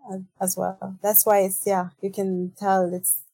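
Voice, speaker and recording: Soft-spoken, flat-toned woman from Syria. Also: medium pitch, vocal fry, dry recording, faint music behind. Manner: soft-spoken, measured, with hesitations. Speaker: singer, band guest. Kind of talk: casual interview chat.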